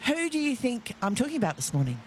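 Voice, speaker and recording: Aussie lady radio host, chatty and jokey, bouncy higher voice, faint outdoor hum behind. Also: sing-song, fast talker. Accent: Australian. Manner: chatty, laughs easily, self-mocking. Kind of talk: live radio talkback. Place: outdoors outside a stadium, live broadcast. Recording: faint outdoor background noise. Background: faint ambient noise.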